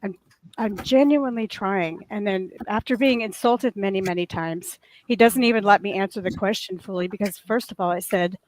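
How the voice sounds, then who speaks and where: Soft-voiced woman telling a long story. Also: mid-range voice, moderate pace. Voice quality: soft voice that can sound crackly and raw. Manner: earnest, long-winded, indignant. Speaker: panelist. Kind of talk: casual group chat, storytelling.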